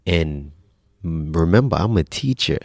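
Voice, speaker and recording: A man in his thirties telling his own life story in a relaxed, conversational way as a podcast guest. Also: deep voice, measured pace, gravelly, no room sound, clean studio sound. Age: 30s. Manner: relaxed, conversational, frequent restarts and religious phrases. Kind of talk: personal storytelling in casual conversation.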